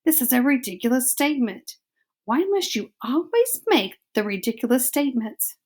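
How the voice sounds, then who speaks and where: A woman's voice: sits high, very animated, normal speed, a little mellow. A woman voicing a vain, dramatic mother mouse with a French accent and broken English. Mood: haughty. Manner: theatrical, haughty, broken English phrasing.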